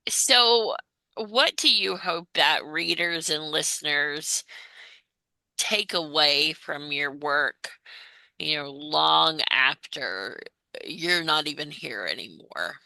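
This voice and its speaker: A woman hosting a conversational interview, phrasing her questions in a halting, hesitant way. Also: low-pitched, gravelly, bright, forceful tone, dry recording, low-quality recording. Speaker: interviewer and host.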